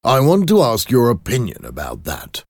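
A man voicing a grave, concerned lawyer in a storybook, with a measured, probing manner. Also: mid-range voice, huge pitch swings, husky, no room sound, clean studio sound, voice pushed up, exaggerated voice. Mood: serious. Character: grave, concerned male lawyer, questioning and suspicious. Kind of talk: audiobook character acting.

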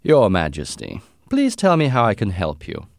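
Pria memerankan detektif Inggris yang percaya diri, nada naik turun lincah. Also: male voice, fairly low pitch, huge pitch swings, normal speed, no room sound, studio quality. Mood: calm, commanding. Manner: commanding, precise, confident. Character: brilliant, brisk, self-assured English gentleman detective. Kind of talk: audiobook character dialogue.

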